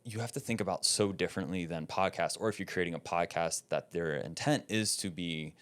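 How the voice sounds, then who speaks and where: Male podcast guest, low voice, emphatic and conversational, explains with animated energy. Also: fairly quick. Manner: animated, emphatic, often ends points with "right?". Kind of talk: casual conversational interview.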